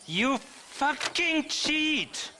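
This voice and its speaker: Hot-headed german card player in a western game, high sharp voice, accusing, bit of background noise. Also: male voice, very animated, drawn-out words, heavily acted. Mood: furious. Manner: belligerent, accusing. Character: hot-tempered, suspicious German card player, belligerent sore loser. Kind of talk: video game cutscene acting.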